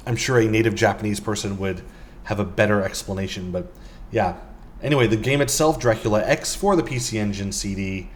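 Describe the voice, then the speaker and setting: A man's voice: lowish voice, slight background noise. A man casually talking through items in a game shop, rambling with lots of ums and uhs. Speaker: vlogger. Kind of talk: casual walkthrough commentary.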